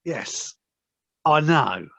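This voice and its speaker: A woman's voice: deep voice, expressive, very slow, creaky, bright, forceful tone, dry recording, heavily acted. A woman playing a bossy, impatient schemer in an audio play, scornful and exasperated. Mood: scornful, scheming. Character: bossy, impatient scheming villain plotting against Toad. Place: living room, recorded over video call.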